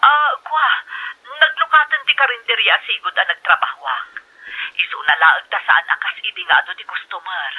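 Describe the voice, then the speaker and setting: A woman's voice: mid-range voice, big pitch swings, moderate pace, pushed and bright, husky, heavily acted, poor audio. A woman with an Ilocano accent playing a distressed, ashamed pregnant mother in a melodramatic drama, speaking hesitantly and plaintively. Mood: evasive, uneasy. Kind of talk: amateur melodramatic drama acting.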